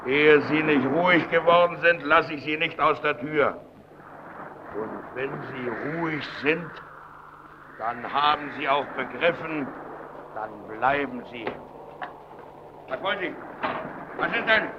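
An actor playing an anxious, stubborn lighthouse keeper in a German radio play, with a creaky voice. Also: male voice, fairly high pitch, takes it slowly, pauses often. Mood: alarmed. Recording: muffled old radio recording, loud noise.

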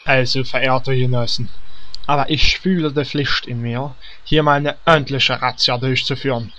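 A man's voice: medium pitch, steady tone, normal speed, bright, forceful tone, natural voice. A man playing a pedantic, overzealous law enforcer with stiff, formal phrasing in a parody fantasy audio drama. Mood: officious, indignant. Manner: stiff, formal police commands.

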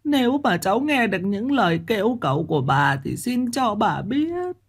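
A male storyteller voicing a desperate, weeping old grandmother who pleads in a high, wailing voice. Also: sing-song, moderate pace, exaggerated voice. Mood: mournful.

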